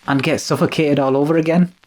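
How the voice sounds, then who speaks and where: A man's voice: a little high, steady tone, fast, darkish tone, lightly acted. A man playing a loyal, deferential manservant in a radio drama, hesitant and anxious. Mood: wary. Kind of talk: old-time radio drama acting.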